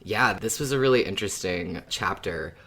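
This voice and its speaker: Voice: low-pitched, moderate pace, crowd noise. Chatty podcast host in casual discussion. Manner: chatty, laughs often. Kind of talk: casual fan discussion with recap.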